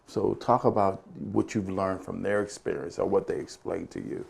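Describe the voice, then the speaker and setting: A male podcast host with a low, gravelly voice and a dark, relaxed tone leads the interview casually. He nudges the guest along with encouraging questions and quick sounds of agreement. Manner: encouraging, lots of affirming murmurs.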